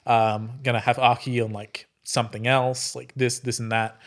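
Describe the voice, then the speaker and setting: A man's voice: mid-range voice, level tone, normal speed. A man playing an improvised role-playing game, chatting casually and thinking aloud in a tentative way. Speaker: tabletop role-playing player.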